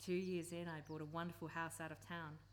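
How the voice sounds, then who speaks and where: A woman's voice: low voice, flat delivery, fairly quick, a little hiss or hum, lightly acted. A woman acting an earnest, persuasive campaigner and former country teacher in a live radio play. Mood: earnest, sorrowful.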